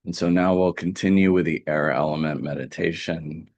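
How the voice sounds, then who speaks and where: A man with a very deep, low voice leads a guided meditation. He speaks calmly and gently, leaving deliberate pauses between phrases. The sound is close and dry. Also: dry recording, lo-fi sound. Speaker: meditation teacher.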